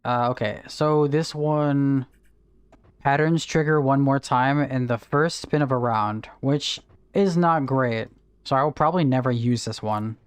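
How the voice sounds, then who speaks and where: A man's voice: mid-range voice, unhurried, smooth, no echo. A young man chatting casually on a livestream, greeting viewers and explaining game items as he plays. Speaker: streamer. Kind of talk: casual livestream chat while gaming.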